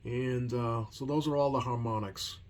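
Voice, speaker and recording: A man giving a casual hands-on demonstration as a repair technician, talking in a hesitant, rambling way with many ums and pauses. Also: mid-range voice, steady tone, a little mellow. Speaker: CB radio repair technician hosting a video. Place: repair workbench indoors. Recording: noisy room tone behind voice.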